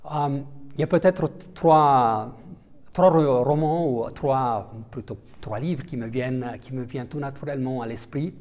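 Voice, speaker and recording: Hesitant diplomat, mellow voice. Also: male voice, medium pitch, unhurried. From Italian-speaking Switzerland. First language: Italian. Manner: hesitant, many fillers and restarts. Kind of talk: interview answers.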